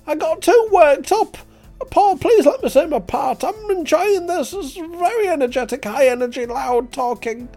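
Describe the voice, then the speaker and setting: A man voicing an over-keen male eccentric in a comic story, chanting and talking with loud, insistent energy. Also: very high-pitched, lively intonation, normal speed, soft background music, voice pushed up. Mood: eager, worked up.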